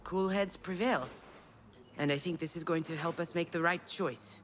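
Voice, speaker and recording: An actress playing a self-deprecating, conciliatory sister in earnest, conversational game dialogue. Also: female voice, lowish voice, moderate pace, slight background noise, lightly acted. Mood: reassuring.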